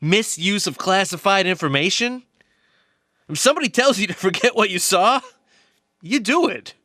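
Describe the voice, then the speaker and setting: A man's voice: sits high, lively intonation, moderate pace, pushed and bright, no echo, clean studio sound. A man playing an insistent, knowing research biologist in an audio drama, explaining things emphatically. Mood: knowing, cynical.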